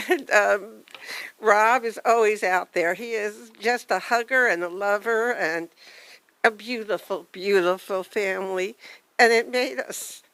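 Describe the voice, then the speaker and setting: An older woman with a soft voice giving a halting, heartfelt tribute at a memorial gathering. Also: fairly high pitch, no room sound, low-quality recording. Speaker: memorial speaker.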